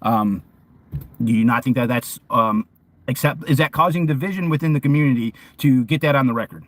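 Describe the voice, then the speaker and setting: A man arguing bluntly in a heated conversation, an outspoken loudmouth who cuts in on the other person. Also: medium pitch, a little fast. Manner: argumentative, blunt, interrupting; self-described loudmouth. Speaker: activist and protester. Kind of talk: heated phone argument.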